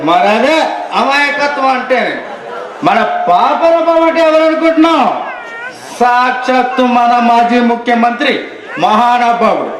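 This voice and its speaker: A man's voice: very high voice, measured pace. A man playing a boastful, wheedling comic drunkard on stage, with slurred declamatory speech. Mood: indignant, boastful. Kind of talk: Telugu comic stage drama acting. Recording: echoey hall stage, noisy crowd.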